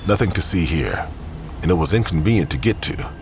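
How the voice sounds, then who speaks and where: A man narrating a crime audio drama in a measured, grim way. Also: sits low, expressive, background noise.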